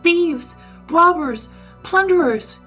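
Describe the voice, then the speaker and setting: A woman voicing an agitated, stubborn old gentleman in a story, with emphatic, indignant delivery. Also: low-pitched, flat delivery, moderate pace, faint music behind. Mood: panicked fury.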